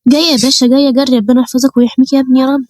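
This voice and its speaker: A woman's voice: high voice, level tone, rapid-fire, darkish tone, dry recording, voice pushed up, close to an everyday voice. A woman voicing a panicky Egyptian mother who wails and frets over her daughter. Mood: relieved fussing. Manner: frantic, wailing, overwrought.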